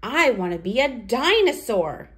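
A woman hosting a read-aloud for toddlers, speaking in a deliberate, sing-song way with simple phrasing. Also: on the high side, sing-song, fairly bright tone. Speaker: children's read-aloud host.

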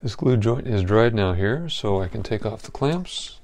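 A man in his forties calmly explaining a furniture repair step by step while working in his home wood shop. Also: fairly low pitch, moderate pace, slight background noise. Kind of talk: hands-on repair tutorial.

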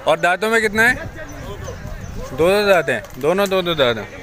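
A man vlogging at an outdoor cattle market, briskly asking sellers prices and repeating them back. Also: sits high, very animated, a crowd in the background. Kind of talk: casual on-the-spot questioning. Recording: crowded outdoor market noise.

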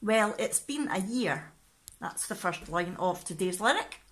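A Scottish woman in her thirties chatting casually and excitably to a vlog, recorded on a phone at arm's length in a living room. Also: mid-range voice, a little slow. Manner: excitable, expressive. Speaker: family vlogger.

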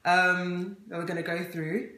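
A woman's voice: lowish voice, flat delivery, measured pace, a bit bright. A talkative woman in her twenties from Ghana, chatting casually to camera as co-host of a vlog, laughing often. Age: 20s. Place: indoor room with plain wall. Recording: roomy echo.

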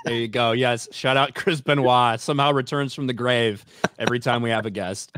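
A man co-hosting a livestream, reading donation messages aloud with a flat, wry delivery. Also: mid-range voice, a little fast, clean tone. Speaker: co-host reading super chats. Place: home streaming room. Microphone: studio mic, webcam.

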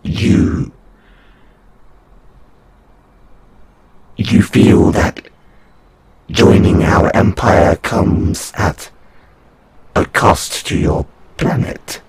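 A man voicing a condescending alien angel envoy in an audio roleplay, speaking in a halting, solemn, formal way with a breathy, husky voice. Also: deep voice, darkish tone, heavily acted. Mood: incredulous, lofty. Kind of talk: scripted audio roleplay monologue.

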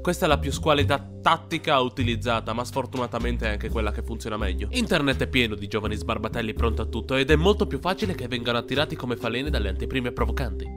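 A man's voice: medium pitch, fast, hardly any pauses. A man reads a scripted explainer in a wry, informal way. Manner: wry, informal, with casual swearing. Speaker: video narrator, dubber. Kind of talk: scripted explainer narration for an animated video. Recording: voice-over with music underneath.

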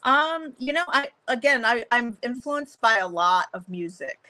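Middle-aged woman guest, crisp bright tone, chatty and rambling, webcam audio from home. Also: lively intonation, measured pace. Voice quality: deeper voice for a woman. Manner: chatty, many fillers and restarts, laughs often. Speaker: guest, photographer and songwriter. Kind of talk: casual interview chat. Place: home room, video call.

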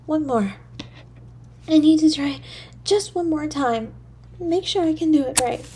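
A woman voicing a poor, lonely little girl in an audio drama, halting and breathy, with childlike stammering. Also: sits high, darkish tone, slight background noise. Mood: hungry, determined. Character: poor, lonely, freezing little match girl, timid and yearning. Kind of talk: solo audio drama monologue.

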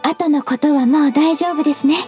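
Woman as very high-voiced, gentle, formal secretary. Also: steady tone, over music, close to an everyday voice. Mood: calm, probing. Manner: soft, formal, measured politeness. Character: gentle, composed half-beast female secretary, politely firm. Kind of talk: Japanese anime-style game voice acting.

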